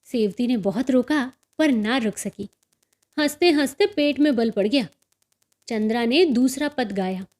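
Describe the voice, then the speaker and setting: A woman's voice: fairly high pitch, measured pace, a little mellow. A woman narrating a scripted story as an audiobook storyteller.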